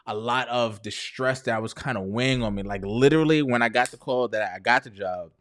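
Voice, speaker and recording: A man's voice: medium pitch, a little fast, a bit bright. A man in his thirties talking candidly and casually to viewers as a video host, often checking in with the listener conversationally. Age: 30s. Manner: conversational, often checks in with "right?" and "you know". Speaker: entrepreneur video host sharing personal story.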